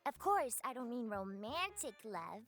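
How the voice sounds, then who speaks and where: A woman's voice: a little high, big pitch swings, measured pace, a bit bright, faint music behind, voice pushed up, heavily acted. A woman voicing a tiny, prim, preachy pixie guide from a visual novel, pompous and theatrical. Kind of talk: visual novel character voice acting.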